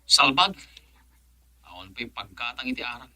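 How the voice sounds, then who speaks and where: An actor with a Filipino accent playing a brash, taunting drinking buddy in a radio drama. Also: male voice, medium pitch, sing-song, moderate pace, pushed and bright, no room sound. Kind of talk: Ilocano radio drama acting.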